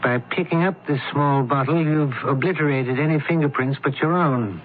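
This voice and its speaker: An actor playing a brisk, shrewd doctor turned investigator in an old-time radio mystery, questioning with clipped authority. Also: male voice, on the high side, close to an everyday voice. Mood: measured, shrewd. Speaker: investigator character. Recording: narrow, tinny old radio recording, hiss.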